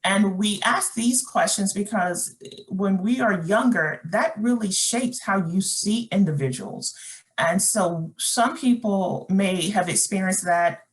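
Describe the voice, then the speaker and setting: A woman's voice: medium pitch, slow pace, rough recording. A middle-aged woman presenting a webinar workshop, talking conversationally with fillers and restarts while reading chat comments aloud.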